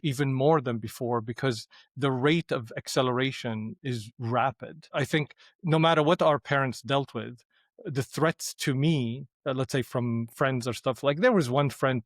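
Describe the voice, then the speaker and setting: A man's voice: medium pitch, no room sound, clean studio sound. A middle-aged man from Syria, a podcast guest speaking reflectively with frequent restarts. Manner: thoughtful, hesitant with restarts. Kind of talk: reflective conversation.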